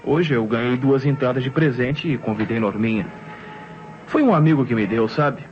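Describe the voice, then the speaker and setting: An apologetic Brazilian gentleman, played by an actor explains himself earnestly at a medium pitch. It is a dull old radio drama recording with light music behind. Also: moderate pace, lightly acted. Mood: explaining. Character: apologetic gentleman explaining his theatre alibi.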